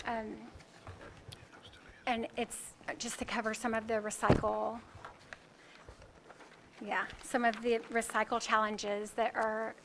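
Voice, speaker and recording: A soft-spoken woman from a company presents to a council, with steady, even intonation and a slightly high voice. The audio carries clear background noise and clicks. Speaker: company representative.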